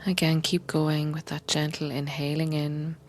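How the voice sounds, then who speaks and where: A woman guiding a meditation in a gentle, unhurried, soothing way, often softening toward a breathy whisper. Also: low-pitched, level tone, a little hiss or hum. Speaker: meditation guide and coach, podcast host. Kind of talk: guided meditation, soothing slow instruction.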